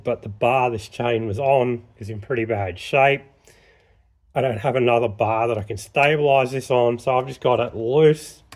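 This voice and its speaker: A man speaks plainly and practically while working at a workshop bench. His voice sits at an everyday mid pitch, and he explains each step in a relaxed, no-nonsense way. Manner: plain-spoken, matter-of-fact, practical. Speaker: chainsaw sharpening instructor. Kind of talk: casual workshop demonstration.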